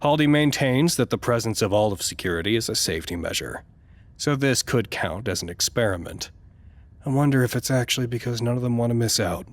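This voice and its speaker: An actor playing a formal, precise male staffer who narrates a log in a measured, wry, dryly curious way, as in an audio drama. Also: medium pitch, dry recording, lightly acted. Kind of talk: scripted audio drama monologue.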